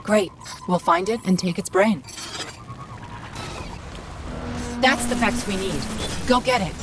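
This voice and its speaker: A woman voicing a witty, eager AI ghost companion in a video game, with a wry, expressive, conversational delivery. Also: medium pitch, normal speed, over loud music, lightly acted. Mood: brisk, determined. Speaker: guide companion. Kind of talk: video game dramatic dialogue.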